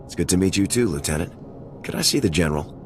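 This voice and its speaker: An actor playing a tough special-forces major, blunt and terse, in a video game. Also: male voice, deep voice, animated, fast. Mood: businesslike. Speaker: squad commander. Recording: music behind the voice.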